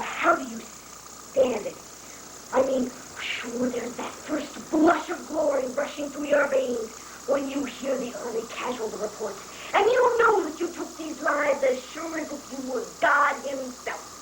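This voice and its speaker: A woman playing a folksy, vain male American president in an amateur satirical stage reading, rambling and theatrical. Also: sits high. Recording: echoey, noisy live recording from far off.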